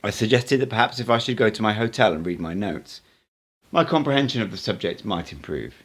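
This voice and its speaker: A man, an amateur reader, narrating an audiobook in an expressive storytelling style. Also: fairly low pitch, brisk.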